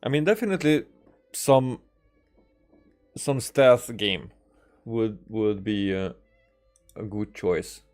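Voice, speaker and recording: A man streaming games, chatting casually in a hesitant, halting way as he thinks aloud. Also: on the low side, darkish tone. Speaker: game streamer. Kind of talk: casual livestream chat. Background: music.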